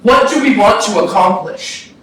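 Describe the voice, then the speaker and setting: A man's voice: sits high, moderate pace, fairly bright tone. A man playing a grieving, guilt-ridden brother, pleading and defensive in a staged play reading. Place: arts centre theatre. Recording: very echoey stage, distant mic, faint noise.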